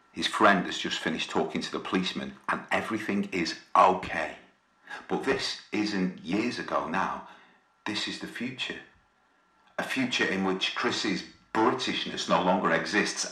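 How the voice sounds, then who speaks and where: A man's voice: deep voice, pushed and bright. A man narrating a scripted drama as a storyteller, recorded with a roomy sound.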